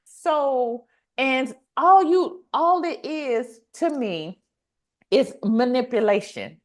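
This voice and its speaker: A woman hosting a podcast, chatting casually and motivationally in an animated way, repeating phrases for emphasis and acting out little quotes. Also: sits high, slow. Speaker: podcast host.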